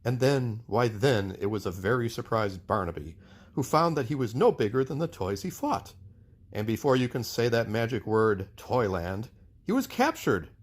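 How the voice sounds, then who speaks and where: A man reads a children's storybook aloud as its narrator. His voice sits at a middle pitch and moves with very lively intonation. The delivery is expressive, scripted storytelling. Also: normal speed. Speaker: storyteller reading a children's book.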